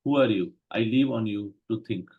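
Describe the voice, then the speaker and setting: Husky-voiced man lecturing in short phrases. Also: fairly low pitch, narrow, tinny audio. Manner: short phrases with pauses for the interpreter. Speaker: Ayurveda lecturer. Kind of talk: lecture in English, interpreted consecutively.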